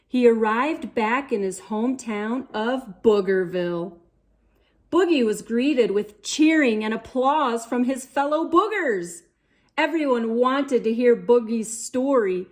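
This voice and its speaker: Female children's storyteller: high pitch, unhurried pace, expressive read-aloud delivery. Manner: theatrical, deliberate delivery with dramatic gasps and exclamations. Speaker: storyteller, channel host.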